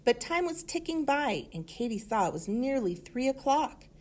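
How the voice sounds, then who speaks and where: A woman reading a children's story aloud in an expressive manner. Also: sits high, moderate pace. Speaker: storybook reader.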